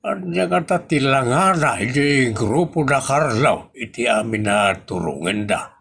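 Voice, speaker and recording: A man playing a grumbling old father and exasperated husband in a comedy audio drama, with drawn-out exclamations. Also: medium pitch, lively intonation, vocal fry, a bit bright, slightly rough, full character voice. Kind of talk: Ilocano comedy radio-style drama acting. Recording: noticeable background noise.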